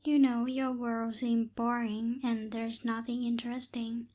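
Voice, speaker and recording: A woman playing a proud, bossy but helpful young night witch in an ASMR roleplay, speaking hesitantly with soft asides, close to the microphone. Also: fairly high pitch, little pitch movement, unhurried. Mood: proud, explaining. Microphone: close binaural microphone.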